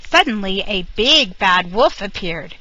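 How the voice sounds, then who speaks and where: A woman reading a fairy tale aloud to children, expressive and unhurried. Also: medium pitch, no echo, highs cut off. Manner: expressive, animated storybook reading. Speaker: storyteller.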